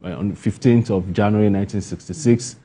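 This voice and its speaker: A man's voice: on the low side, a little fast, mellow. A man with a Nigerian accent giving spontaneous interview answers, emphatic and repeating key phrases for stress. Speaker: interview guest, playwright.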